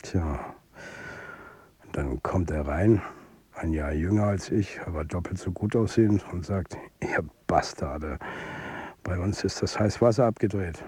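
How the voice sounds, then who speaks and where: A middle-aged football coach tells his story in a radio drama. His voice is husky and fairly low. He speaks candidly and colloquially, as if confiding in the listener, with a world-weary edge. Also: a little slow, warm tone, no room sound, heavily acted. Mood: confiding, wry. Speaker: narrator and lead character. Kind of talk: intimate first-person radio drama narration.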